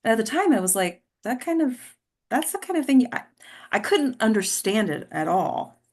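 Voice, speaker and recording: A woman's voice: a little high, very animated, normal speed. An actress playing a tired, polite, guarded mother in a stage play read, hesitant and understated, trailing off. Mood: weary, reflective.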